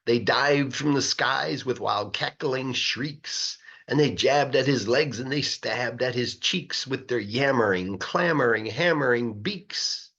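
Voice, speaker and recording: A man reads a children's story aloud in an expressive, sing-song rhythm, stretching words for emphasis like a teacher reading to a class. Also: mid-range voice, slow, hardly any pauses, dull audio. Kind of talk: animated rhyming storybook narration.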